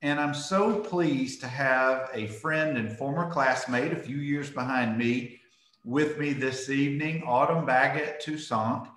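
A man's voice: mid-range voice, measured pace, fairly bright tone, echoey room. Man hosting over a video call. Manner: friendly, repeats words while starting phrases. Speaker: host. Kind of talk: casual video call interview. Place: home room with school mascot wall.

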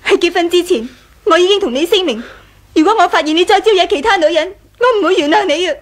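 High-voiced, wronged young wife. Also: female voice, animated, quick pace. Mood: cold, hurt. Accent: Hong Kong Cantonese. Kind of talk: 1980s Cantonese radio drama acting.

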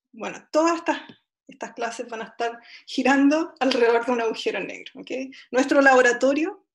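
Chilean lady teaching over video call, kinda gravelly, highish voice, lots of ums. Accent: Chilean Spanish. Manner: chatty, self-deprecating, many fillers and restarts. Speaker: physics lecturer. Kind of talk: online lecture. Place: home room, indoors. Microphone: laptop webcam video call.

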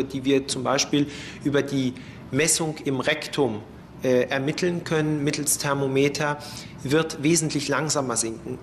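A man's voice: a little high, fairly bright tone. Precise male forensic expert, faint background noise. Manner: measured, precise, technical wording. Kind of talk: expert interview explanation. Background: faint ambient noise.

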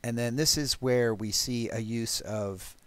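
A man giving a live technical walkthrough of code as a presenter, explaining deliberately and haltingly with pauses. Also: medium pitch, clean tone, no echo. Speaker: presenter of a programming talk.

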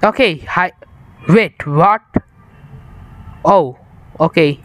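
A young man from India talks to his viewers in an unhurried, drawn-out way as he plays, his voice sitting a little high. He rambles loosely, sounds worn out, and uses an improvised setup. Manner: rambling, chatty, addresses viewers. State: tired. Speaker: streamer. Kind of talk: casual live-stream chat while gaming.

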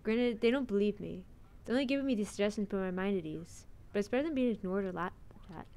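A woman's voice: medium pitch, fast talker, warm tone. A woman streamer reading a visual novel's narration aloud into a close mic.

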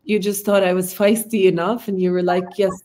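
A woman's voice: medium pitch, flat delivery, a little fast, dark tone. A woman in her thirties with an Arabic accent, a guest on a video call, talking reflectively and often searching for words. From Palestinian, based in Amman. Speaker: interview guest, artist. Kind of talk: casual conversation. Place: home room, video call. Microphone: laptop mic on video call.

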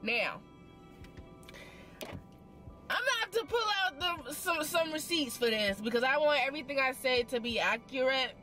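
Animated young woman telling a story in her car. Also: high-pitched, faint music behind. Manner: expressive and dramatic, slangy, quick asides. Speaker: vlogger. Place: parked car.

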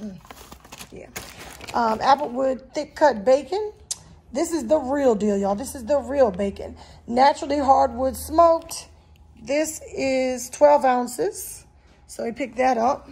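A woman's voice: sits high, creaky, a little mellow, slight background noise. A woman vlogger talking in a folksy, chatty way straight to her viewers, recorded on a handheld phone in a home kitchen. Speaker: vlogger showing a grocery haul. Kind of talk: casual chat to viewers. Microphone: handheld phone behind the camera.

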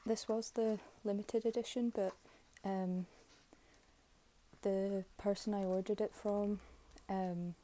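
A young woman with a slightly hoarse voice, giving low-key, halting commentary on an unboxing. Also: little pitch movement, dark tone. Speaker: K-pop album unboxing vlogger. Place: bedroom, filming items on a bed.

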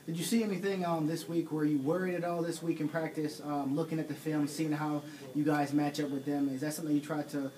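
A man working as a reporter, asking halting questions at a press conference. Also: a little high, fairly even intonation, warm tone. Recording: noisy room recording with clicks.

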